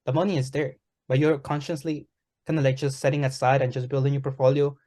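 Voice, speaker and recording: Male co-host, mid-range voice, relaxed and filler-heavy delivery. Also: medium pitch, fast. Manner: relaxed, many fillers like "kinda, like". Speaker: podcast co-host. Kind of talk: casual podcast chat.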